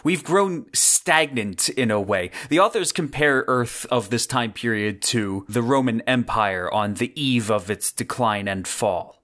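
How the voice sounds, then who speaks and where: Male podcast host, mid-range voice. Earnest, measured explanatory narration, deliberate phrasing, slightly conversational. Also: mid-range voice, a bit bright.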